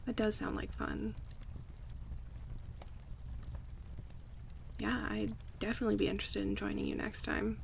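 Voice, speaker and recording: Woman acting affectionate girlfriend-type friend with heavy vocal fry. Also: mid-range voice, fast talker, slight background noise. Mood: warm, interested. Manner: relaxed, giggly, conversational and teasing. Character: affectionate young woman, playful close friend turning romantic. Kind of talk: ASMR roleplay, casual chat to the listener.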